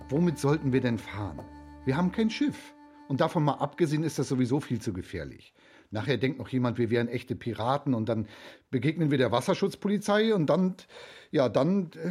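A man's voice: medium pitch, a little mellow, slightly rough. A man acting an anxious, bookish foster father in a children's radio play, hesitant and fretful.

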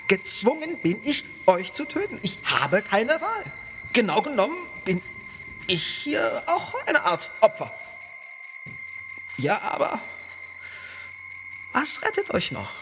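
An actor playing a gloating, cruel tyrant who turns whiny and mock-innocent in a theatrical verse radio play. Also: male voice, high voice, noisy background, exaggerated voice. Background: music.

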